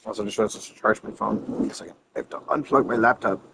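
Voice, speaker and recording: A man's voice: fairly low pitch, fast talker, creaky, background noise. A man giving casual, off-the-cuff gameplay commentary, rambling and thinking aloud as a streamer. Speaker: game streamer.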